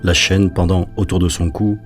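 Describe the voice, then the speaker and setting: A man narrating a sombre audio drama as a storyteller, measured and solemn. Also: low voice, level tone, close to an everyday voice. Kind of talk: scripted literary narration. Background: music.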